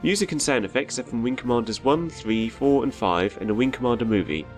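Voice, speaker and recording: A male narrator with a medium-pitched voice reads scripted closing credits for an audio drama. Music plays clearly behind him. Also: lively intonation, fairly quick.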